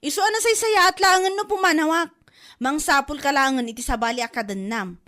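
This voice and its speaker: A woman's voice: high-pitched, brisk, pushed and bright. A woman playing a long-suffering, fed-up wife in a comedy drama, with an Ilocano accent. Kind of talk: comedy drama podcast acting.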